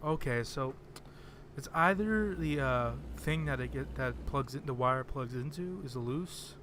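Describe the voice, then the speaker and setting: Casual male streamer, soft and hesitant, lots of "uh", mid pitch, home desk mic. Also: lively intonation, measured pace, noisy background. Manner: soft-spoken, hesitant, many fillers. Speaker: game streamer. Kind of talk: casual live-stream chat while playing. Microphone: desk microphone on a computer.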